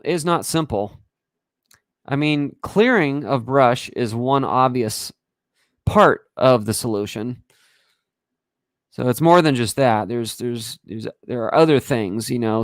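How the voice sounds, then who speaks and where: A hoarse man talking casually on a livestream, rambling and halting, and often clearing his throat. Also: mid-range voice, no room sound. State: hoarse from breathing smoke. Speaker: livestream host. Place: home in wildfire country.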